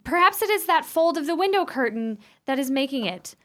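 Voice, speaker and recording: A woman's voice: high voice, expressive, brisk, fairly bright tone. A woman acting an anxious, easily alarmed sister in a radio play, full of urgent questions. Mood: frightened reasoning.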